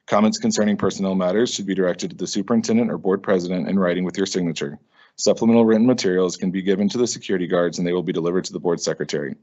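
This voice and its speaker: A man chairing a school board meeting, briskly reading out formal rules for public comment into a meeting microphone. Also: low-pitched, fairly even intonation, darkish tone. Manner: brisk, procedural, measured reading. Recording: dull lo-fi meeting audio.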